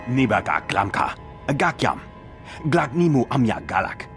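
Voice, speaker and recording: A man's voice: medium pitch, big pitch swings, unhurried, music in the background. A man playing an alien who speaks an invented language in a video game. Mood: animated. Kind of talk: video game creature acting.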